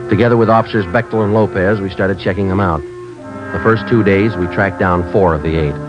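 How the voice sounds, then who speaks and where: An actor playing a terse, businesslike police sergeant, narrating a case in clipped, matter-of-fact 1950s radio drama style. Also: male voice, low-pitched, fairly even intonation, a little fast, over music, lightly acted. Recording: muffled old radio broadcast recording.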